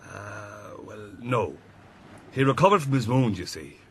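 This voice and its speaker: Lively, roguish Irish army captain. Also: male voice, fairly low pitch, measured pace, a little hiss or hum. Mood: wry. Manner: blunt, familiar, worldly. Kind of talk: radio drama acting.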